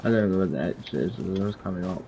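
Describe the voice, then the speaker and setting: A woman's voice: very deep voice, fast talker, warm tone, slight background noise. A woman giving casual commentary as she plays a video game. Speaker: game player. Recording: dull mic, game music behind. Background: game music.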